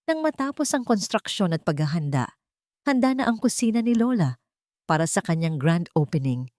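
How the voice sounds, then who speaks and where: A woman's voice: lowish voice, big pitch swings, moderate pace, darkish tone, no room sound, studio quality. A woman reading a scripted romantic story as a dramatic narrator.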